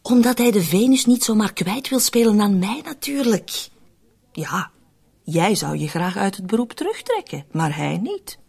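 An actress playing a cynical, scheming woman who deflects blame in a radio drama, with a husky voice. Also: mid-range voice, big pitch swings, a little slow, a little mellow, full character voice. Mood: defensive, accusing.

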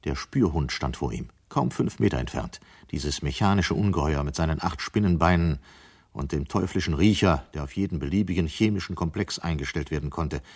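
An actor as a sober storyteller, giving scripted narration in a German radio play. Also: male voice, extremely low pitch, darkish tone, breathy edge, heavily acted. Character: sober male storyteller of a dystopian tale.

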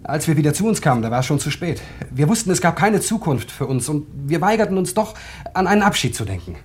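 An actor playing a married man in a German radio drama, confessing an affair in a halting, defensive, troubled way. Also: on the high side, sing-song. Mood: confessional, troubled.

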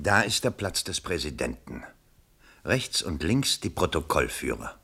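An actor playing a pompous, nervous politician in a 1960s German radio play, shifting between theatrical oratory and muttered asides. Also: male voice, a bass voice, sing-song, measured pace, vocal fry, pushed and bright, breathy edge, heavily acted. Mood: nervous muttering. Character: pompous, nervous male politician rehearsing and orating.

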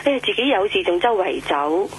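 A woman's voice: medium pitch, big pitch swings, fairly quick. A woman with a Hong Kong accent playing a chatty, fretful middle-aged mother heard over the telephone in a radio drama. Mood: puzzled, fretful. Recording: telephone voice under loud music.

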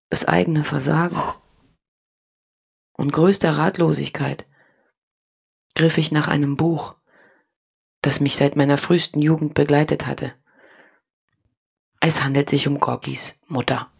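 A solemn woman reads a formal letter in a low, husky voice with plenty of creak. Her delivery is measured, grave and remorseful, in the manner of a radio play. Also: fairly even intonation, full character voice. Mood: grave, confessional. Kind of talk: dramatic letter reading in radio drama.

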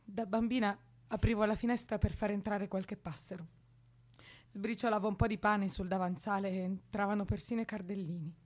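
A woman's voice: mid-range voice, steady tone, a little fast, darkish tone, natural voice. An actress in an Italian radio drama playing a troubled young woman, bitter and fragile, with an intense, brooding way of speaking. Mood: wistful, wounded. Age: young adult.

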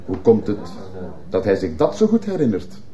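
A man's voice: lowish voice, huge pitch swings, moderate pace, warm tone. A man playing a persistent defence lawyer, formal and argumentative, in a radio courtroom drama. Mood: insistent, challenging. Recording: muffled old radio play recording.

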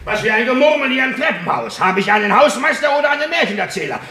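An actor playing a pompous embassy master, hurling insults in a 1950s radio drama. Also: male voice, extremely high pitch, lively intonation, quick pace, pushed and bright, reverberant, slight background noise, full character voice. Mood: furious scolding. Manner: shrieking string of insults. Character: furious pompous male embassy master, middle-aged. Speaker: employer of the caretaker.

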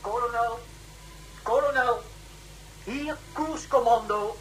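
Sci-fi radio role: female ship's officer, slow formal reports, slightly high pitch. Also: a little hiss or hum, low-quality recording. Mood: formal, urgent. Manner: formal, deliberate military reporting. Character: dutiful female course-command officer on a spaceship. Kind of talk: radio play acting.

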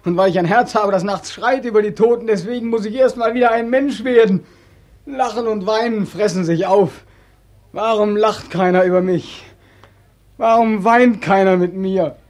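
An actor playing a despairing, homeless soldier back from war, emotional and pleading, in 1940s radio drama style. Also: male voice, high voice, moderate pace, dry recording, lightly acted. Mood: bitter, anguished. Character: despairing returned soldier, homeless, anguished and naive. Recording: dry 1940s radio recording.

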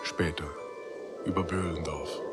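A man acting as the grave narrator of a literary radio drama, speaking in a measured, solemn way. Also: very deep voice, fairly even intonation, over music. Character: grave male narrator of a sombre literary tale.

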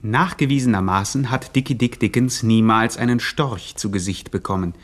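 An actor playing a grandiose narrator, telling a gangster epic in pompous, mock-solemn radio style. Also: male voice, lowish voice, moderate pace, lightly acted. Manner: pompous, theatrical, ornate.

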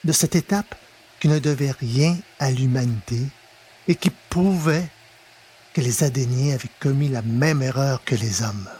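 A man narrating a scripted story in a measured, dramatic manner, with pauses for effect. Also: mid-range voice, warm tone, a little hiss or hum. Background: music.

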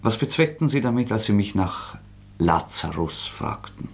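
An actor playing a proud, cornered intellectual suspect in a radio drama, politely mocking and bitterly ironic. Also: male voice, fairly low pitch, sing-song, moderate pace, vocal fry. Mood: sardonic, guarded. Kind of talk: German radio drama acting.

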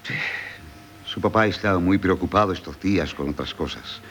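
An actor with a Castilian accent playing an earnest middle-aged former teacher and suitor in formal, solemn radio theatre style. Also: male voice, brisk, a bit bright. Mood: guarded, courteous. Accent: Castilian Spanish. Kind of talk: 1970s Spanish radio theatre acting. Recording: old radio broadcast, low rumbling noise.

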